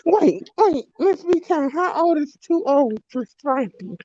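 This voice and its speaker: A woman in a casual online chat, teasing others in a drawn-out way. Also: high voice, lively intonation, warm tone, dry recording, muffled recording.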